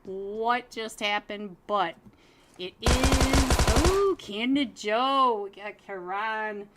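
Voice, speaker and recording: A woman casting an online esports match alone, chatty and giggly, getting excited at big plays. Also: fairly high pitch, very slow, heavy background noise. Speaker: esports caster. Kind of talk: solo live game commentary.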